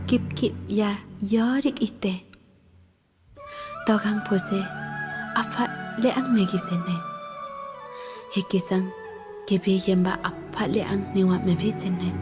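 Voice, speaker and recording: A woman's voice: medium pitch, lightly acted. A woman performing as the narrator of a poetic futurist film. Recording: film clip with music underneath. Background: music.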